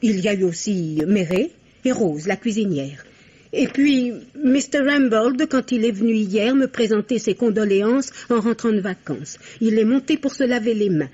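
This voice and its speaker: An actress playing a prim, resentful spinster in a French radio mystery play, fussy and plaintive. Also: female voice, medium pitch, animated, moderate pace, dark tone, breathy edge. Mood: peevish. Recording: muffled old French radio recording.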